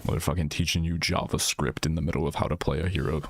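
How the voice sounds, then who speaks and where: A man streaming a game, talking in a slow, soft ASMR manner while teasing and trash-talking his teammates with blunt swearing. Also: very deep voice, level tone, vocal fry, a little hiss or hum. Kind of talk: casual gameplay commentary. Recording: game music playing behind voice. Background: game music and sound effects.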